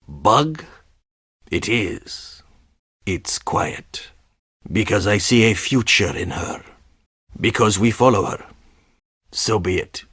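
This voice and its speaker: A menacing, world-weary game character, speaks slowly in a low, husky voice. He is terse and deadpan, with an ominous edge. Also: no echo, studio quality, heavily acted. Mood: cold, guarded. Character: menacing, world-weary male stagehand guarding a theatrical underworld. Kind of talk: video game character dialogue.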